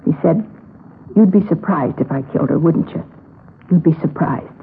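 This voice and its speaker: A woman's voice: sits low, normal speed. Earnest, worried woman on tinny old radio. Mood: uneasy recounting. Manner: plainspoken, earnest. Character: earnest, worried young woman reporting missing sister. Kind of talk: 1950s radio police drama. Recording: tinny vintage radio recording, noisy.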